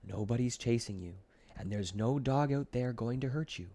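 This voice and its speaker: A man voicing a gentle, soothing ice cream lady. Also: mid-range voice, normal speed, natural voice. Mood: reassuring. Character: kindly, reassuring, mysterious ice cream lady. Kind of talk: children's audiobook character voice.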